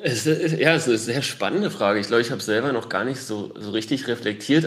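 Male podcast guest from Berlin, lowish voice, thoughtful, rambling answers. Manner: thoughtful, many fillers, rambling. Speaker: guest artist. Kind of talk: casual podcast conversation.

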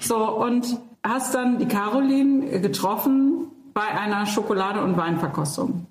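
A woman's voice: medium pitch, measured pace. Chatty woman podcast host, echoey room. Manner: chatty, asks questions and backchannels a lot. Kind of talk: casual interview chat.

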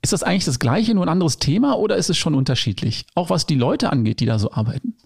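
A man hosting a podcast conversation, asking his guest questions in an upbeat way. His voice sits fairly high for a man and carries a strong gravelly fry. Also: lively intonation, quick pace. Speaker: podcast host.

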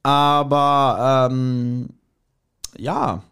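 A man's voice: medium pitch, steady tone, very slow, a bit bright, clean tone. A man chatting casually as a podcast co-host, wry and teasing. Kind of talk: casual chat.

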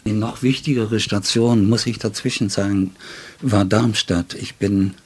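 An older man, a writer, reminisces in an interview in a deliberate, anecdotal way with long, detailed sentences. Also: sits low, darkish tone, breathy edge. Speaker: writer and literary editor being interviewed.

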